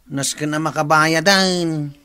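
An actress playing an insistent, nagging village debt collector in a radio comedy drama. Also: female voice, sits low, steady tone, slow, bright, forceful tone, smooth, full character voice. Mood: brisk.

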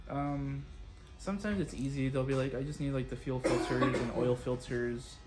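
A man's voice: medium pitch, steady tone, normal speed, over loud music. A young man chatting casually as a client, explaining things in loose, unpretentious pieces. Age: young adult. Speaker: barbershop client. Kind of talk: casual chat. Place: indoor barbershop. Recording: loud electric clipper buzz.

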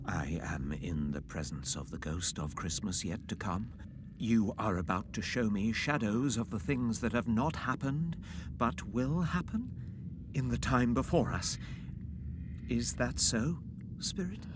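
A man's voice: on the low side, animated, unhurried. An actor playing an anxious, repentant old miser in an audio drama, earnest and formal as he pleads. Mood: solemn, fearful. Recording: noisy ambience behind the voice.

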